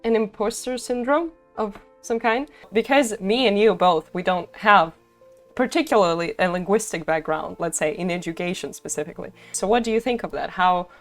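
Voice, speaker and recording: A woman's voice: mid-range voice, normal speed, soft background music. A young woman hosting a casual conversation, chatty and giggly, with many "like"s. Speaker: host, English teacher.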